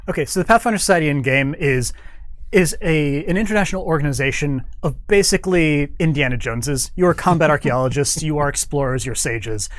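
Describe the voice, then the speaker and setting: A man's voice: a little high, brisk, no echo. A man speaking as an interview guest in a studio, explaining his work in an informal way. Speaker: guest, game developer.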